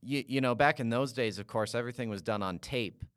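A man from Canada hosting an interview and asking long, halting questions full of "uh"s and restarts. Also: mid-range voice, a bit bright, no room sound, clean studio sound. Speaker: podcast host.

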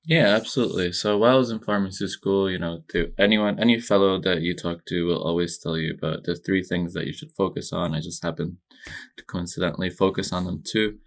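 A young man from Egypt answers interview questions in a calm, modest way. His voice is very low and flat, with a gravelly texture. He talks in a steady, measured pace. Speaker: podcast guest.

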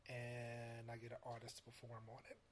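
A man with a soft, quiet voice explains things in a casual interview. He pauses often and restarts his sentences. His pitch sits in an ordinary middle range. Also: monotone, a little hiss or hum. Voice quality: soft-spoken. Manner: hesitant, many fillers and restarts. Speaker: music producer guest.

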